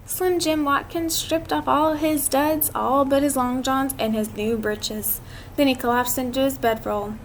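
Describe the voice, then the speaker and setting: A woman reading a picture book aloud to children, expressive and drawn out, with chatty asides to her listeners. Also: high-pitched, a little slow. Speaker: read-aloud storyteller. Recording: clearly noisy amateur recording.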